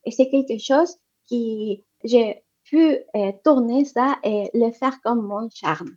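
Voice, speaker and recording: Woman guest, higher voice, muffled sound. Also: slow pace, a little mellow. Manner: hesitant, many fillers and restarts. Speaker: interview guest, economic development representative. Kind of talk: interview answers.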